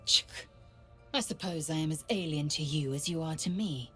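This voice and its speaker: A woman playing a stern, haughty alien warrior in a fantasy video game, speaking in a clipped, disdainful, commanding way with a husky rasp. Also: low voice, normal speed, faint music behind, lightly acted. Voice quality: husky, raspy.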